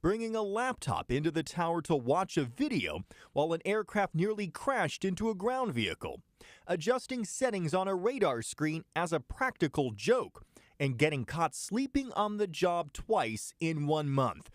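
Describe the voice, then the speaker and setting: A man with an American accent narrates investigative television news in a fairly high register. His delivery is scripted and his pitch moves animatedly from phrase to phrase. The close voice-over sound is dry. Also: very animated, normal speed, no room sound. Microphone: close studio voice-over microphone.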